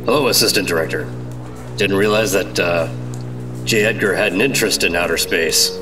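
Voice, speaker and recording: An American man playing a dry, unflappable 1950s secret agent, narrating and talking in a measured noir radio drama. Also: deep voice, lightly acted. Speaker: narrator and lead actor. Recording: music under the voice.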